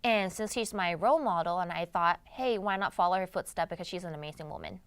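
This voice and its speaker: A woman's voice: on the low side, fast talker, clean tone, no room sound. A young woman from Thailand, a native Thai speaker, answering interview questions in a casual conversational way. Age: young adult. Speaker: interview guest, pageant titleholder and journalism student.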